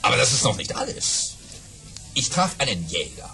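A man's voice: mid-range voice, very animated, sharp tone. A man playing a boastful baron who tells tall tales to drinking friends in a theatrical children's audio drama. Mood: mysterious, boastful. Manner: theatrical, savouring pauses, hesitant fillers for effect.

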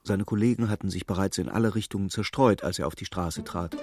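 An actor playing a sober storyteller, narrating a literary radio play in a husky, measured, dramatic way. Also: male voice, sits low, a little mellow, no echo, studio quality. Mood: wry, measured. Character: sober male storyteller following a troubled clerk.

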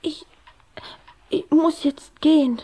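A woman's voice: high voice, steady tone, takes it slowly, dark tone. A woman playing a bold, outspoken young vampire girl in a children's radio play, with dramatic delivery. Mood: uneasy.

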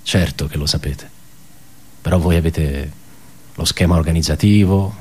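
An actor playing an embittered, pedantic male office worker in a radio theatre monologue, halting and repeating his words as he puts rhetorical questions. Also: low voice, mellow, a little hiss or hum, lightly acted. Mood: accusing, anguished.

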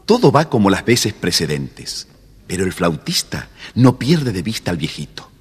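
A man's voice: low voice, very animated, moderate pace, husky, big-room sound, faint music behind. A man narrating a children's fairy tale, phrasing it carefully and dramatically. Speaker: storybook narrator.

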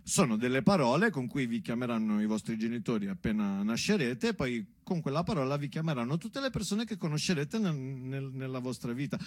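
A bossy, self-important God played by a man in a comic stage tale. The delivery swings widely in pitch, patronising and insistent, getting flustered. The sound is close and dry. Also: animated, fairly quick, hardly any pauses, darkish tone, no room sound, close to an everyday voice. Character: God, bossy, self-important male, addressing unborn children.

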